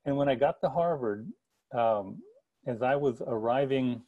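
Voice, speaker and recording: A man's voice: medium pitch, unhurried. Middle-aged male author on a video call, dull lo-fi audio, personal storytelling. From El Paso area, Texas. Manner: earnest, gestures a lot, frequent restarts. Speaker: author giving a book talk. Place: attic room at home. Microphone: video call with earbuds.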